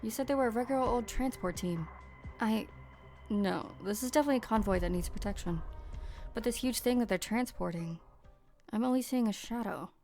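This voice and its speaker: A woman's voice: a little high, fairly quick, a little mellow, soft background music, close to an everyday voice. A woman playing a cold, guarded mercenary leader in an amateur game fan dub, speaking in a curt, cynical way. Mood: wary.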